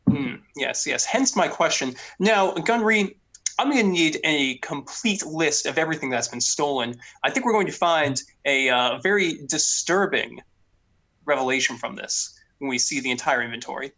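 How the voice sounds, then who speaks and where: A man's voice: a little high, animated, moderate pace, pushed and bright. A man voicing a stern, officious older noblewoman investigator in a tabletop game, commanding and insistent.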